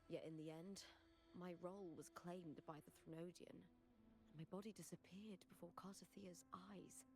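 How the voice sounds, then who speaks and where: An actress playing a solemn young warrior woman in a game, recounting tragedy in measured, earnest dialogue. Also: medium pitch, a little mellow, close to an everyday voice. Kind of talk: dramatic scripted game dialogue. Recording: game audio under prominent music.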